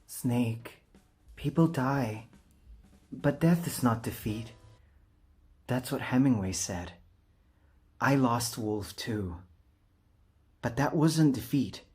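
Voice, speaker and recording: A man's voice: medium pitch, slow, long pauses, a little mellow, slightly rough, soft background music. A man voicing an earnest, grieving scientist from a video game, pleading in a strained, emotional, insistent way. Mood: impassioned grief. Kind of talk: dramatic video game dialogue.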